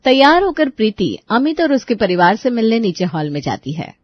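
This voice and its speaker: A woman's voice: on the high side, sing-song, moderate pace, no room sound, dull audio. A woman storyteller narrating a scripted family tale.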